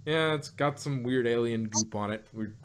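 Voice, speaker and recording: Man, podcast co-host, rambling casual chat, mid pitch, restarts. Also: normal speed, a little hiss or hum. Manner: rambling, many restarts and fillers, laughs often.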